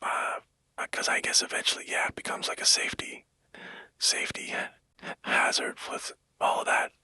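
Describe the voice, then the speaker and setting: Man, breathy whisper, rambling, hesitant, casual ASMR-style monologue, close dry sound. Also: moderate pace, pushed and bright, no room sound.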